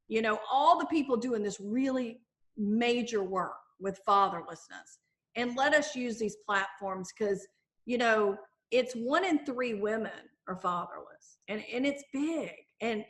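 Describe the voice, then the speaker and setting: A middle-aged woman author talking in a conversational interview, lively and acting out the voices of the people she quotes. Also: a little high. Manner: animated, acts out quoted voices. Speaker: author and guest.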